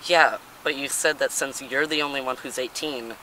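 Young man playing a deadpan, bright-voiced teen hiker. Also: medium pitch, moderate pace, natural voice, low-quality recording. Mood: flippant. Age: late teens. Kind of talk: amateur comedy sketch acting. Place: outdoors on a woodland trail. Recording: outdoors with faint ambient noise.

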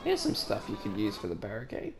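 A man's voice: lowish voice, wildly swooping pitch, fast talker, music in the background. A man giving casual, dry and sarcastic commentary while playing a video game. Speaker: gaming commentator. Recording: loud game audio and noise behind.

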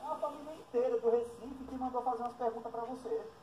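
A man with a high voice plays the teasing interviewer, prodding his guest with fan questions. He sits off the mic, so he sounds distant and roomy. Light noise runs behind him. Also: fairly even intonation, quick pace. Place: outdoors by a pool at a country house.

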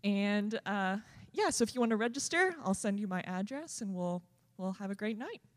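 A woman's voice: mid-range voice, lively intonation, normal speed. Woman making a chatty announcement in a hall. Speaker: staff member making an announcement. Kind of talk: casual announcement. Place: large auditorium stage. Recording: long hall reverb.

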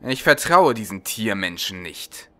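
Man reading as a blunt, distrustful emperor, bright voice. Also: lowish voice, big pitch swings, measured pace, exaggerated voice. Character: suspicious, brooding male emperor, commanding and distrustful.

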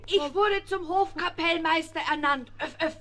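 A woman's voice: very high voice, normal speed, over a noisy crowd. A woman playing a fussy, dutiful motherly pig in a children's radio play, punctuating her sentences with little oinks. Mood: announcing, busy.

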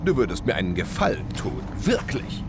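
A man's voice: fairly low pitch, big pitch swings, fairly quick, music in the background. A man voicing a melancholy, cultured alien creature in a game cutscene, with theatrical, long-suffering refinement. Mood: world-weary lament. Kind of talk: animated game cutscene acting. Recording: clear background noise.

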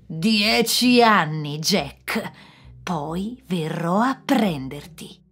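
Lady doing a loud angry devil, menacing, swinging pitch all over. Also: animated, very slow, soft background music, heavily acted. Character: menacing male devil, furious and vengeful.

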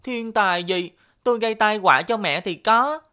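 A woman's voice: medium pitch, moderate pace, pushed and bright, close to an everyday voice. A woman playing an earnest, eager little boy in a children's cartoon, with a childlike delivery. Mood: downcast, earnest. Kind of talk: animated children's cartoon dubbing.

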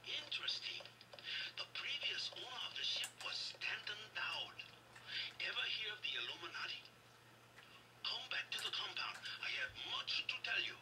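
An actor playing a serious, knowing technical expert giving a measured radio briefing in a video game. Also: male voice, sits high, sing-song. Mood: intent, serious. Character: serious, knowing male tech expert and ally. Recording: distant, noisy game audio off a screen.

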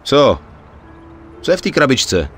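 A man's voice: mid-range voice, wildly swooping pitch, fairly bright tone, breathy edge, faint music behind, full character voice. A male actor in a dubbed video game, playing a tough, wary, level-headed gangster with a dry, blunt manner.

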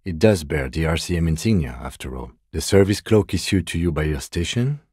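A man voicing a composed, methodical police detective in a video game, speaking in a measured, formal, understated way. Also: a bass voice, expressive, mellow, breathy edge, dry recording, clean studio sound, heavily acted. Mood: calm, matter-of-fact. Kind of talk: video game character dialogue.

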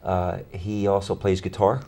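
A man's voice: low-pitched, little pitch movement, measured pace, dark tone. A middle-aged man, a musician answering conversationally as an interview guest. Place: small TV studio, indoors. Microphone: lapel mic.

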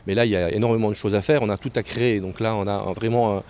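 An older man, a local official answering interview questions in a halting way, often repeating words. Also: lowish voice, steady tone, a little mellow. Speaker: local mayor and tourism official. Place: outdoors on a terrace above a town street. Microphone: handheld interview microphone held close. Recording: clear outdoor street noise.

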